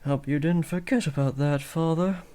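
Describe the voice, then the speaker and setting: A man's voice: a little high, moderate pace, mellow, close to an everyday voice. Man acting a cocky, awkward teenage villain's son. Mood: casual, boastful. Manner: theatrical villain taunting that slips into sheepish casual talk. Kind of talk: scripted roleplay audio addressed to the listener.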